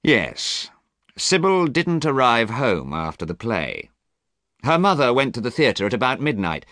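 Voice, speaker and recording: A suave, worldly gentleman with a coaxing, theatrical lilt, as a male narrator puts him on in an audiobook. His voice sits well above the reader's own and swoops widely up and down. The recording is dry and close. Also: mid-range voice, big pitch swings, a little slow, no echo, voice pushed up. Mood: grave. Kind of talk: audiobook character reading.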